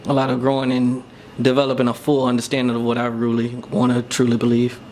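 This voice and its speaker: A man's voice: medium pitch, completely flat delivery, fast, a little mellow, slight background noise. A man talking casually and reflectively as a podcast co-host, thinking aloud in an informal way.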